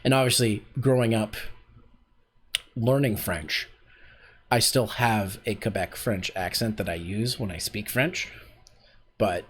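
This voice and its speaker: A man's voice: on the low side, a little slow, long pauses, slightly rough. A man hosting a casual voice acting workshop on a livestream, chatty and self-deprecating, often laughing at himself.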